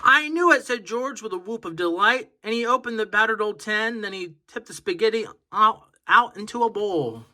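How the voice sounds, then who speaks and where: A man reading a children's picture book aloud in an expressive, emphatic storytelling manner. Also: high voice, moderate pace, few pauses. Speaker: storybook reader on a children's channel.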